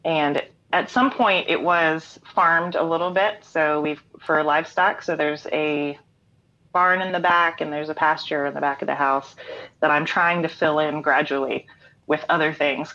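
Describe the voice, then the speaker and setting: A woman's voice: low-pitched, fairly even intonation, measured pace, sharp tone. A middle-aged American woman chatting casually as an interview guest, in an easygoing, explanatory way. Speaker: interview guest, singer-songwriter and writer. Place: remote online call from home. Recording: dull lo-fi online call audio.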